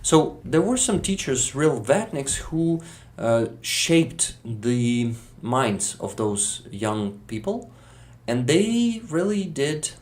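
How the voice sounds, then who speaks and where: A Ukrainian man speaking English with a Ukrainian accent, talking as a guest in a casual interview, halting with many fillers. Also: medium pitch, sing-song.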